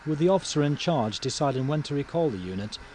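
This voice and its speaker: A man reading scripted instructional narration for a training film in a formal, measured way. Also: fairly high pitch, animated. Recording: noisy 1990s training video, engine sounds.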